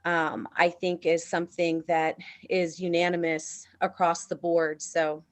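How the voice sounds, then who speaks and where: Female panelist, lower voice, gentle and hesitant on a call. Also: flat delivery, measured pace. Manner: soft-spoken, hesitant, trailing off. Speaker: conference panelist. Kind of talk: casual panel discussion. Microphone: video call.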